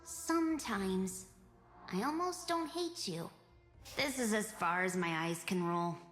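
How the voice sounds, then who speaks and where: A woman voicing a gloomy, apathetic young female game mage who sulks like a teenager in a deadpan, sighing drawl. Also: mid-range voice, slow, big-room sound, over music. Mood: gloomy, sulking. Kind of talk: video game character voice lines.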